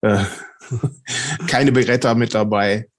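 A man answering as a podcast guest, explaining in a conversational, somewhat halting way. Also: medium pitch, dry recording. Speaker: podcast guest, paranormal investigator.

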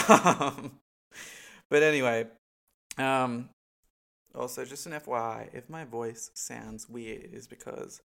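A man's voice: medium pitch, vocal fry, a bit bright. A young Australian man with a stuffy, congested nose, chatting casually to camera in a rambling way, full of ums and likes. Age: young adult. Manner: rambling, many ums and likes, laughs between points. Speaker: vlogger.

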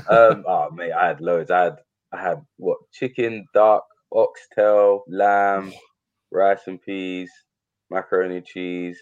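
Low, muffled man, laid-back. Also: steady tone, slow, clean tone. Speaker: podcast guest, regular podcaster. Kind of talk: casual chat. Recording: muffled lo-fi microphone.

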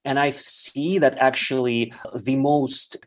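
A man from Ukraine, raised speaking Russian, gives a long interview answer. He pauses often and hunts for words, filling the gaps with "like" and "uh" as he works through his thoughts. His pitch sits in a middle range. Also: steady tone. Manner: halting, searching for words, many fillers. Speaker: guest.

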